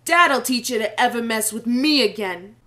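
Woman doing a sassy girl newsie, high voice that swings around a lot. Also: expressive, normal speed, pushed and bright. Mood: cocky. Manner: bold, cocky. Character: spunky teenage girl disguised as a newsboy. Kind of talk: fan audio drama acting.

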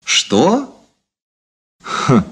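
A male actor playing a cynical, mocking former detective officer in a Soviet radio drama, with a theatrical, measured delivery. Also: fairly bright tone. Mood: mocking, ironic.

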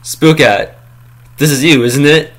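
An amateur voice actor playing a wary, sly cartoon animal who speaks in a muted, deliberate way. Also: mid-range voice, steady tone, voice pushed down, close to an everyday voice. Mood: suspicious.

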